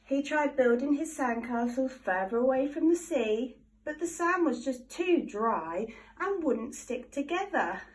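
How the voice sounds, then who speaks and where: A woman reads a children's picture book aloud with animated, expressive storytelling. Her voice sits on the higher side. She is recorded from a little distance from the microphone. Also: measured pace. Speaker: storybook reader.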